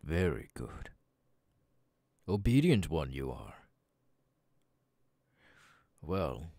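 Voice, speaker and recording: Guy doing a cold bossy demon lord for asmr roleplay, real slow n low, breathy murmuring, kinda menacing. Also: a little mellow, no room sound, studio quality, full character voice. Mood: imperious, threatening. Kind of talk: ASMR audio roleplay monologue.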